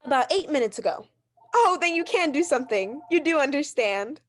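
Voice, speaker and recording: A high-pitched, fretful woman, played by an actress, as a bedridden wife in a 1940s-style radio thriller. She is neurotic and imperious, pressing her points insistently and stammering when challenged. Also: lively intonation, fairly quick. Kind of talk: 1940s radio drama acting.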